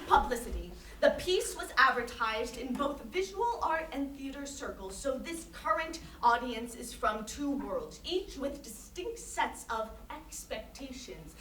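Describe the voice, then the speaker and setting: Pretentious lady artist lecturing onstage, high bright voice, super grand and emphatic. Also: very animated, measured pace, hardly any pauses, heavily acted. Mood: lofty, lecturing. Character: female conceptual artist, grandiose, earnest, pretentious. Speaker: actor in a staged reading. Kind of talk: theatrical monologue in interview form. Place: staged reading in a reverberant room. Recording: roomy, distant microphone, noisy hall.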